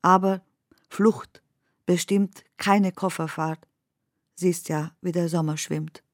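A woman reciting literary poetry for a radio play in measured, deliberate phrases. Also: fairly low pitch, fairly even intonation, no echo, clean studio sound.

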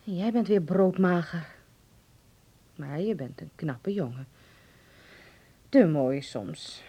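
An actress playing a sceptical, gently teasing middle-aged mother in a radio play. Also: on the low side, lively intonation, slow, slightly rough, heavily acted. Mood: concerned, fond. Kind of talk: Dutch radio play acting.

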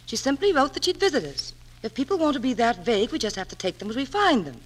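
A woman playing a gentle, harried young widowed mother in a 1940s radio drama, soothing and mildly chiding. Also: on the high side, very animated, fast. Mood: breezily vague. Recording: old 1940s radio broadcast.

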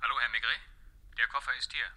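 A man's voice: mid-range voice, steady tone, fast talker, sharp tone, low-quality recording. An actor playing a blunt, matter-of-fact police inspector reporting to his boss in a German radio play.

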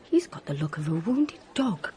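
An actress playing a bright, curious young British doctor in a radio drama, eager and confiding. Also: female voice, deep voice, sing-song, moderate pace, a little hiss or hum. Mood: intrigued. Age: young adult.

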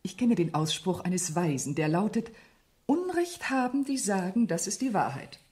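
Actress as worldly society lady, radio play: dark soft tone, highly expressive, teasing, self-assured. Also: moderate pace, close to an everyday voice. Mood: poised, ironic. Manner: playful, ironic, poised. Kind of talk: German radio play acting.

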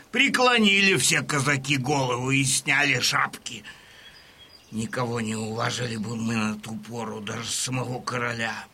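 A man acting a proud wounded Cossack veteran who tells war news in a declamatory, deliberate way, in an audio drama. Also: on the high side, bright, forceful tone, exaggerated voice.

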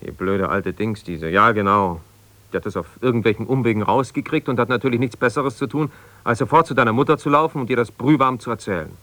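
An actor playing an evasive, ambitious lawyer whose marriage has broken up, talking haltingly and reassuringly yet with exasperation in a naturalistic radio drama. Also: male voice, medium pitch, a bit bright, no room sound, lightly acted. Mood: irritated, sardonic. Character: ambitious lawyer husband, evasive, placating, strained, newly separated. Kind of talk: naturalistic 1970s German radio drama, one-sided phone calls.

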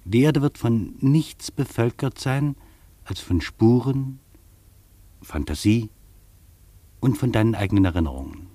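An actor playing a reflective travel writer, recounting a journey in literary first-person radio narration. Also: male voice, medium pitch, animated, measured pace, long pauses, dark tone, no room sound. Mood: pensive.

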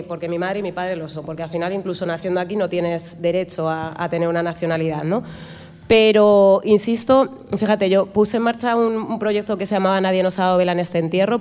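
A woman's voice: on the low side, flat delivery, a little fast, a little mellow. A woman from Spain, speaking as a guest journalist on a live podcast in front of an audience. She talks emphatically and acts out bits of dialogue. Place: event venue with live audience. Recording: long hall echo.

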